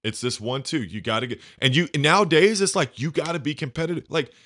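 A man hosting a conversation with a deep bass voice, effusive and emotional as he talks. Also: quick pace, fairly bright tone. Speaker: podcast host. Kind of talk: casual conversation.

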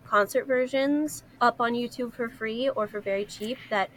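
A woman's voice: fairly high pitch, fairly even intonation, normal speed, fairly bright tone, a little hiss or hum, poor audio. A woman co-hosting a casual podcast discussion, speaking emphatically and enthusiastically. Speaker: podcast co-host.

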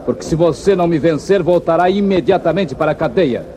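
Um ator brasileiro interpretando um herói de faroeste destemido, com fala firme, formal e comedida de radionovela dos anos 1950. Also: male voice, a little high, animated, crowd noise, lightly acted. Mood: calm challenge. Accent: Brazilian. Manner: firm, formal, measured. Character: steadfast, fearless cowboy hero lawman. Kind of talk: 1950s radio western acting.

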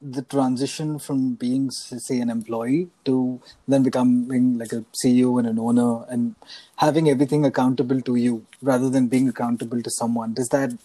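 A man's voice: mid-range voice, fairly even intonation, normal speed, warm tone, no room sound, low-quality recording. A man interviewing a guest, asking questions with many restarts and short affirmations.

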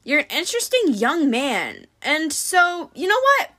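Brief: female narrator as sly, breezy middle-aged messenger god for an audiobook, bright and wry. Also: high voice, sing-song, unhurried, pitched above the performer's own voice, heavily acted. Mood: breezy, curious.

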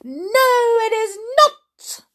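A woman voicing a tiny, spiteful imp man in a children's fairy tale, shouting shrilly and gloating. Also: big pitch swings, measured pace, creaky, heavily acted. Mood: shrill refusal. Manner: shrill shouting, sing-song boasting.

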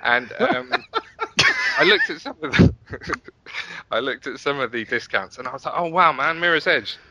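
A man's voice: mid-range voice, very animated, normal speed, sharp tone, poor audio. A British man chatting casually as a podcast guest, talking in a rambling, self-interrupting way. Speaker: podcast guest, web developer.

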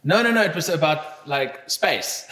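A man's voice: fairly high pitch, lively intonation, measured pace, pushed and bright, roomy sound, rough recording. A man telling a travel story in casual podcast chat, rambling with fillers and restarts and swearing casually.

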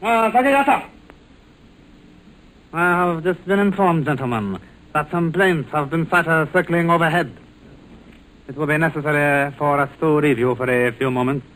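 High-pitched menacing saboteur, played by a man. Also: moderate pace, pauses often, a bit bright, lightly acted. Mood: smoothly polite. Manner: stiff, formal, over-precise diction. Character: menacing Japanese saboteur commander, male, coldly polite and gloating. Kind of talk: 1940s radio serial acting. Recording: noisy old radio drama recording.

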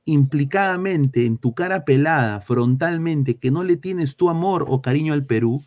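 A man's voice: on the high side, moderate pace, darkish tone. A man from Peru with a Peruvian Spanish accent, ranting bluntly and crudely as a football talk host. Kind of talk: heated casual football talk.

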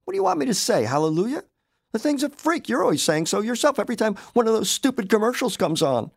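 A demanding, easily wounded wife, sharp and indignant, her voice swinging high and low. A man reads her, with a gravelly edge to the tone that sits far above his usual register. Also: big pitch swings, quick pace, full character voice. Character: anxious, demanding wife, adult woman.

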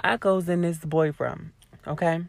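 A woman YouTuber ranting casually to her viewers, sassy and blunt, with a hoarse voice and a lot of cursing. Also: fairly low pitch, fairly even intonation, a little slow, no echo. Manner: sassy, blunt, swears freely, talks straight to viewers. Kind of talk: casual vlog rant to camera.

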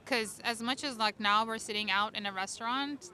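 A woman's voice: a little high, moderate pace, bright, forceful tone. A woman from Turkey co-hosting a podcast, chatting casually outdoors at a city restaurant and filling her talk with "like.". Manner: chatty, lots of "like" fillers. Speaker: podcast co-host. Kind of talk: casual chat. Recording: busy street crowd noise behind. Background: city street crowd and traffic.

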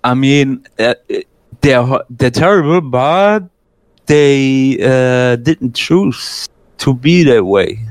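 A man's voice: mid-range voice, expressive, slow pace, no room sound. A man chatting casually, hesitant, full of fillers and restarts, swearing freely. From Germany. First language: German. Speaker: guest. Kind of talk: casual conversation.

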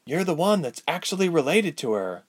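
A guarded, serious woman of a fantasy storybook, acted out by a man. The pitch sits raised, and the words come terse and earnest, like someone protecting those close by. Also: very animated, normal speed, slightly rough. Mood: worried, urgent. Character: Ilse, guarded adult woman ice chanter, earnest and terse.

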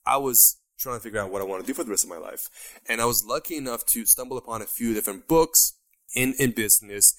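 Earnest young man from Iran. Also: lowish voice. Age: 20s. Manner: steady and earnest, with frequent ums. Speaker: guest YouTuber. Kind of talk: interview answers.

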